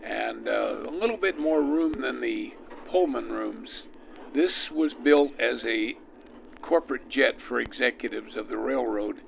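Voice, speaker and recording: A man giving unscripted explanations in an interview, in measured, halting phrases, with a vintage 1940s radio effect. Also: medium pitch, very animated, gravelly, a little hiss or hum. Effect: vintage 1940s radio filter. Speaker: private railcar host, interview guest. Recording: tinny narrow-band old radio sound.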